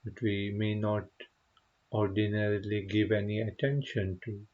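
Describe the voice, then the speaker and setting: Male meditation guide, lowish husky voice, gentle and very unhurried delivery, slightly muffled recording. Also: steady tone. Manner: gentle, unhurried, pausing often. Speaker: meditation teacher.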